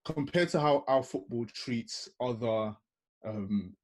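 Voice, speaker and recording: A man on a video-call panel, laying out his points one by one in a measured way. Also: medium pitch, animated, a little mellow. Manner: measured, lays out points in order. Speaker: panel guest. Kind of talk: reasoned panel discussion.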